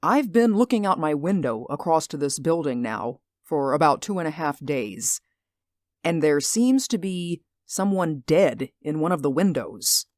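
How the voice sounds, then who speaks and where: A woman's voice: deep voice, animated, measured pace, darkish tone, no echo, studio quality. A woman playing an anxious, insistent man in a radio drama, speaking earnestly and emphatically. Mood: earnest, uneasy. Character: anxious, insistent male stage actor spying on neighbours.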